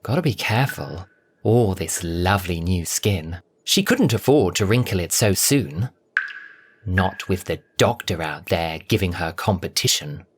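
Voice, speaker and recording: A man narrating a dramatic audio story from a script in a theatrical, expressive way. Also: low voice, a little slow, slight background noise.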